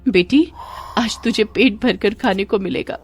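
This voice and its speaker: A woman's voice: medium pitch, unhurried, close to an everyday voice. A woman voicing a poor, hardworking young mother who sells on the street, speaking earnestly and emotionally in an audio storybook, with music behind. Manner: earnest, pleading, polite, emotional. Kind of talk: acted dialogue in audio storybook.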